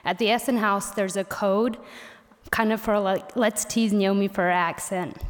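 A young woman from Missouri, a native Pennsylvania Dutch speaker with that accent, reading her prepared senior speech at a college assembly with gentle wry humor. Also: mid-range voice, fairly even intonation, moderate pace, a little hiss or hum. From Missouri, USA. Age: young adult. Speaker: graduating college student. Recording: echoey auditorium sound.

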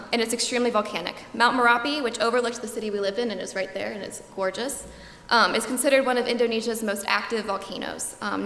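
A young woman gives a slide talk to an audience, earnest and informative, with lots of ums. Her voice sits fairly high and rings out in a big echoey hall with a long tail of reverb. A faint room hum sits under her words. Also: sharp tone. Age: young adult. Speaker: student presenter.

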